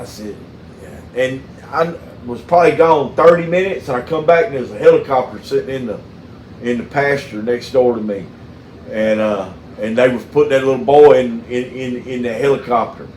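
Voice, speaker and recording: A middle-aged man with a Southern US accent, chatting casually and telling stories in a folksy, halting way. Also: medium pitch, reverberant, slight background noise, rough recording. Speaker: mechanic and channel host. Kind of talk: casual phone chat with storytelling.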